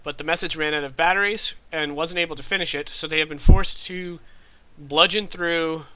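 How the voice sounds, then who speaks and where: A man in his thirties with a nasal, congested voice, running a tabletop game as game master with relaxed, rambling asides. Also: a little high, moderate pace, smooth, rough recording. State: congested from allergies.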